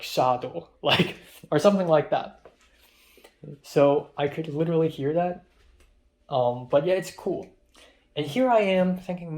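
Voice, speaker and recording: Rambling young man. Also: on the high side. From Hong Kong. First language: Cantonese. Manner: rambling, excitable, swears a lot, with many fillers. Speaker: vlogger. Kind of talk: casual diary vlog.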